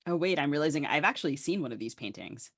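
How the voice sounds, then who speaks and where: A woman's voice: low voice, lively intonation, fast, slightly rough, no room sound, studio quality. A woman co-hosting a podcast, moving between casual chat and scripted introductions. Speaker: podcast co-host.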